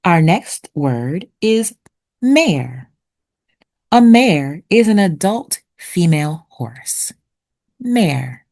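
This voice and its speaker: A woman narrating for children like a teacher, with deliberate, expressive enunciation. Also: on the low side. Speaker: read-aloud host and narrator. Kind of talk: children's picture book read-aloud with vocabulary teaching.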